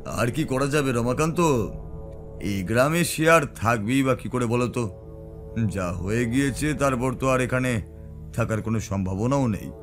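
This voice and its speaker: A calm tantric storyteller played by a man recounts uncanny tales in a measured, reflective way. His voice sits at a medium pitch, with music behind it in this audio drama. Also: natural voice. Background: background music.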